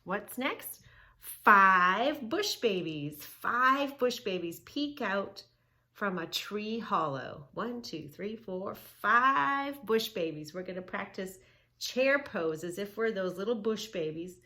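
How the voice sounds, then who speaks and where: A woman hosting a children's yoga read-along, teaching in an emphatic, sing-song way with drawn-out key words and questions. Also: mid-range voice, lively intonation, pushed and bright. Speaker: children's yoga story-time host. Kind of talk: read-along lesson for young children, narrating and instructing.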